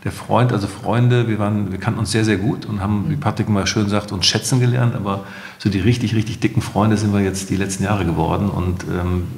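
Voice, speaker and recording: A man's voice: fairly low pitch, fairly even intonation, brisk, gravelly, darkish tone. A middle-aged man speaking conversationally as a company founder and guest in a podcast interview. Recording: echoey room with long reverb.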